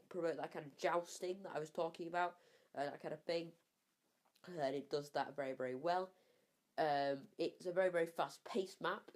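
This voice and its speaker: A young gaming commentator talks through his review in a loose, rambling way. He fills his sentences with "uh" and "like" and doubles words for emphasis. A cold and a slightly sore throat colour his voice. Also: fairly high pitch. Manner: rambling, many fillers, repeats words for emphasis. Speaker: gaming YouTuber reviewing maps. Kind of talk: casual game review commentary.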